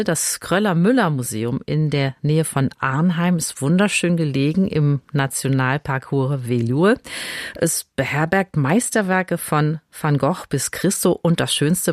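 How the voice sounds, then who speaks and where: Radio host with a very dry studio sound. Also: female voice, sits low, dry recording. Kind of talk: scripted radio presenting.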